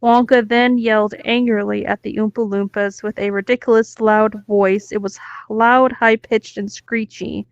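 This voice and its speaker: A woman's voice: mid-range voice, steady tone, moderate pace, hardly any pauses, dry recording. A woman co-hosting a podcast, reading a story aloud and reacting casually, recorded close on a slightly dull microphone. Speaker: podcast co-host.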